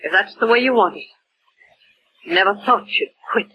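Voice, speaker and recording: A woman playing a scolding, sharp-tongued wife in an old radio drama, nagging and indignant. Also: mid-range voice, moderate pace, dull audio, natural voice. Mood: reproachful. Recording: old radio broadcast, faint noise.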